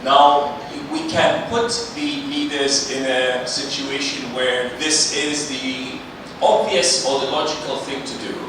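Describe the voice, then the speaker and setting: Middle-aged man, panel speaker, lively and coaxing, heard from a distance in a big echoey hall with noise. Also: mid-range voice, takes it slowly. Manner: animated, coaxing the audience. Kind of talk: seminar talk leading an audience exercise. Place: large seminar hall.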